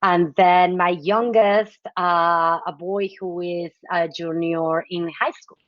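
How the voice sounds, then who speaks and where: A woman in her forties from Mexico, a guest chatting casually in an interview, warm and talkative with many fillers and frequent laughs. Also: on the low side, steady tone, slow, no room sound, muffled recording. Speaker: guest, nonprofit executive director.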